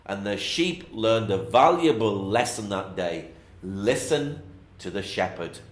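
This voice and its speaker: A man's voice: on the low side, expressive, takes it slowly, reverberant. A man narrating a children's Bible bedtime story, with repetitive, sing-song storytelling phrasing.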